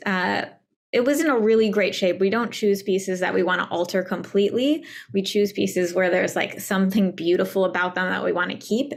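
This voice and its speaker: A woman's voice: mid-range voice, gravelly. A woman speaking as an editor, explaining a process in an unscripted, conversational way. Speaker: prose editor.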